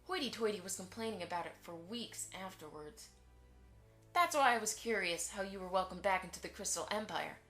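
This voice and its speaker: A woman plays a polite, talkative pony mother in a fantasy radio play. She speaks at a middling pitch and smooths things over with courteous tact, with faint music behind her. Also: lively intonation, a little fast, fairly bright tone, lightly acted. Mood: conversational, pointed. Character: polite, chatty pony mother, soothing peacemaker. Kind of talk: audio drama dialogue.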